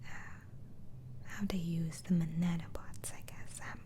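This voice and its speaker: A woman whispering in an ASMR roleplay as a doctor interviewing a patient, hesitantly asking questions and repeating answers back in a breathy voice. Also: lowish voice, flat delivery, a little slow, dark tone. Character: gentle, inquisitive female mental asylum doctor.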